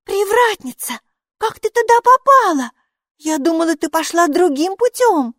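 A woman voicing a brave, bossy little girl in an audiobook, speaking in a high, exclaiming child voice. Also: moderate pace, no room sound, clean studio sound. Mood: excited. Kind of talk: audiobook character reading.

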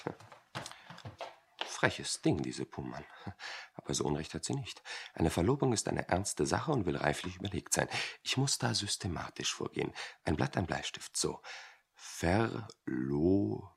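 An actor playing a flustered, indecisive company director in a radio play, husky, hesitant and easily exasperated. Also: male voice, low voice, big pitch swings, a little slow, heavily acted. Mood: musing, muttering. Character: flustered, indecisive male company director, pondering his engagement.

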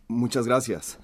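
A man's voice: mid-range voice, slight background noise, exaggerated voice. An actor playing a shy, hesitant man who is sick with a cough, in a radio drama. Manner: hesitant, halting, apologetic. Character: shy, halting sick man visiting a healer.

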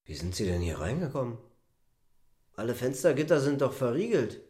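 An actor playing a bewildered, blunt poet held in an asylum, in a radio drama. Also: male voice, medium pitch, big pitch swings, fairly quick, warm tone, natural voice. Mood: puzzled. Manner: blunt, agitated questioning. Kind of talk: German radio drama acting.

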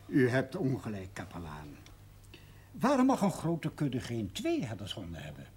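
Husky, formal village schoolmaster. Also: male voice, medium pitch, huge pitch swings, darkish tone, full character voice. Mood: pointed, reasoning. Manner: courteous, deliberate. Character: formal, tactful yet pointed village schoolmaster. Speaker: actor in a television drama. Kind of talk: 1970s Dutch television drama acting.

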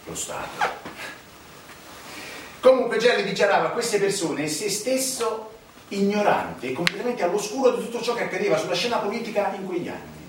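An actor playing a wry storyteller on stage, emphatic and ironic, with dramatic pauses. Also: male voice, high voice, lively intonation. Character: wry male narrator recounting a political scandal. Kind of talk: theatrical monologue on a political scandal. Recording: echoey stage, distant mic, clear noise.

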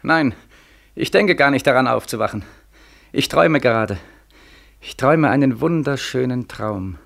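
Young war-broken soldier, 1940s radio drama: bitter, accusing, gravelly edge, wide swings of pitch. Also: male voice, expressive, normal speed, fairly bright tone. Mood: dreamy, defiant. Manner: insistent, rhetorical questioning. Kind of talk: 1940s German radio play acting.

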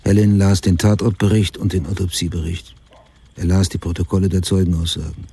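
An actor playing a grave, hard-boiled crime writer, narrating tersely in a radio drama. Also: male voice, sits low, flat delivery, a little fast, gravelly, husky, dry recording, full character voice. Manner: terse, clipped sentences. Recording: loud music behind the voice.

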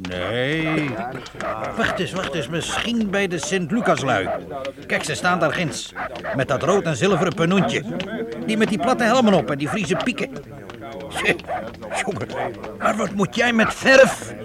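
A hearty medieval master smith in a radio play, fatherly and quick to chuckle. His voice is fairly high and lively, and he takes his time. Music plays clearly behind him. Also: a loud crowd. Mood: fatherly, amused. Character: hearty, good-natured medieval master smith and guild leader. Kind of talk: Dutch radio play acting.

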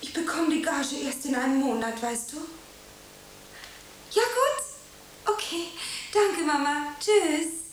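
A very high-pitched, eager young actress in a radio drama. She is theatrical and anxious, lingering slowly over her words. The room rings around her, with a faint hiss. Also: echoey room. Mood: chatty, needy. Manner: gushing, hesitant, dramatic pauses, earnest. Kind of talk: German radio play acting.

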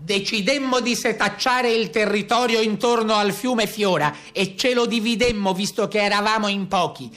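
A woman playing a member of a police patrol, recounting a hunt for brigands in a measured, orderly radio-play monologue. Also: medium pitch, a bit bright, lightly acted.

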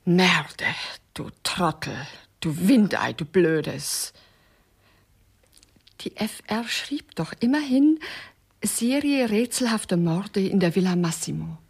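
A woman's voice: lowish voice, lively intonation, slow, gravelly, breathy edge, heavily acted. An actress in a radio play playing a sardonic, bossy female companion, dry and correcting with mocking endearments. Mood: scornful, urging. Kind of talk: German radio play acting.

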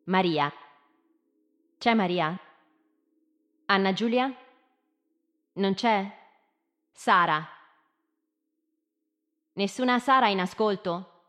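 A woman in a live radio drama playing a gently commanding teacher, measured and questioning, with pauses between her phrases. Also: mid-range voice. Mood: calm, inviting. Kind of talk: live radio drama, slow deliberate storytelling.

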